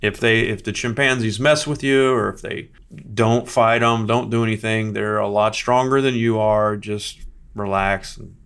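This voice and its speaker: Male podcast guest, low voice, animated conversational storyteller. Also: normal speed, clear, resonant tone. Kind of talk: casual storytelling.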